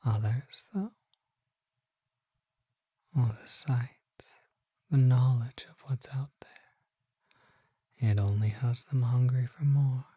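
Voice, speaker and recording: Performer as vampire apothecary, ASMR roleplay, soft breathy whisper, courteous, musing, dry and slightly muffled close recording. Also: mid-range voice, fairly even intonation, slow, pauses often, dry recording. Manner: genteel, leisurely, musing. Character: courteous, unhurried, curious vampire apothecary shopkeeper.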